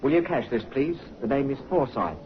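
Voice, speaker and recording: A man's voice: mid-range voice, lively intonation, fairly quick, over music, close to an everyday voice. A man playing a whining petty crook. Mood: aggrieved. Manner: defensive, aggrieved. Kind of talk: 1950s radio acting. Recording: noisy muffled vintage radio recording.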